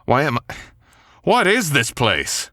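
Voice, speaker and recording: A man's voice: medium pitch, wildly swooping pitch, slow, sharp tone, no echo, studio quality, exaggerated voice. A man voicing a young male knight, wounded, gallant and protective, speaking in an old-fashioned courtly way. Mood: bewildered. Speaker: voice actor. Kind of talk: boyfriend ASMR audio drama.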